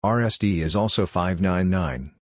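Low-voiced man reading short practice phrases. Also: normal speed, dry recording, clean studio sound. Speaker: narrator of Morse code practice drills. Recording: clear background noise with clicks.

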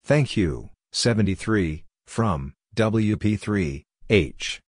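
A man reading short scripted practice phrases as a drill narrator, measured, spelling out letters and digits one by one. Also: on the low side, expressive, dry recording.

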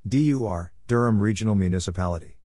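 A man's voice: on the low side, fast, warm tone, dry recording, clean studio sound. A man giving a scripted instructional read-out, spelling letter by letter and speaking in short, isolated phrases. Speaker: Morse code practice instructor. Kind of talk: scripted read-out of spelled codes and place names.

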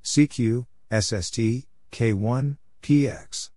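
A man narrating a practice lesson, reading call signs and short phrases in a clipped, deliberate way. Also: medium pitch, darkish tone, slight background noise. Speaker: Morse code practice narrator.

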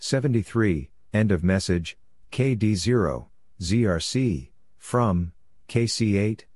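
Slow, low-voiced man reading short phrases. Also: expressive, a little mellow, no echo, clean studio sound. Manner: measured, clipped short phrases. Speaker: Morse code practice narrator. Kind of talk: scripted read-out of radio practice messages, phrase by phrase.

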